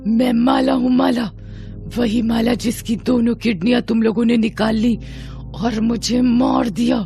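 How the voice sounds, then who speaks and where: A woman's voice: a little high, steady tone, a little slow, warm tone, faint music behind. A woman voicing a vengeful, menacing female ghost in a horror story. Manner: menacing, accusing. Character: vengeful female ghost witch confronting an evil doctor. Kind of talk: Hindi horror story voice acting.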